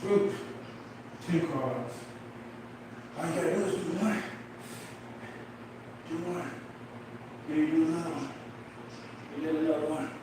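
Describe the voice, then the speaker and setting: A man's voice: a little high, a little slow, long pauses. Winded Missouri man, 40s, counting reps and hyping himself up, far from the mic. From Midwest, Missouri. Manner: self-motivating, counting aloud, urging himself on. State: out of breath from exercise. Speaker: fitness livestreamer. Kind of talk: casual workout livestream chat, counting reps. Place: home workout space at night. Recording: echoey room, mic far off, noisy background.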